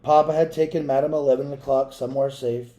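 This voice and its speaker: A man reading a children's picture book aloud as a storyteller, in a measured, dramatic way with pauses and asides to listeners. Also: medium pitch, fairly even intonation, warm tone, lo-fi sound.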